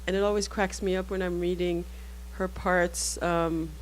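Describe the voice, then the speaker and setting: A woman author whose first language is Haitian Creole, speaking thoughtfully in a panel discussion, pausing and restarting often. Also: lowish voice, level tone, a little hiss or hum, poor audio. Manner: thoughtful, halting, many restarts.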